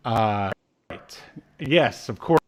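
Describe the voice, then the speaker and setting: A man in his thirties co-hosting a podcast in casual chat, with a jokey, halting delivery. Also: on the low side, expressive. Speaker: podcast co-host. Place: small room at home, on a video call.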